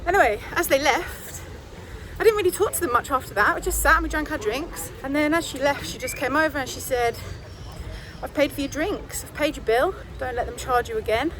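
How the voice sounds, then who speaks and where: A woman with a British accent, chatting casually and self-mockingly to her phone camera, laughing often, her voice a little croaky and sleepy. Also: high voice, brisk, background noise. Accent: British English. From England. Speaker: vlogger. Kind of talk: casual vlog chat.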